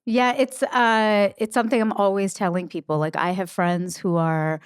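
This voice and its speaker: A woman's voice: medium pitch, steady tone, normal speed, clear, resonant tone, no room sound, studio quality. Chatty young woman podcast host. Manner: chatty, candid, full of fillers and asides. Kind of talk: casual interview chat.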